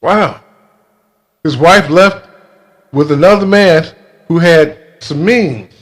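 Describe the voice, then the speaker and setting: A man speaking in a casual monologue, with a halting, blunt and emphatic way of talking. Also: fairly high pitch. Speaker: video creator telling a personal grievance.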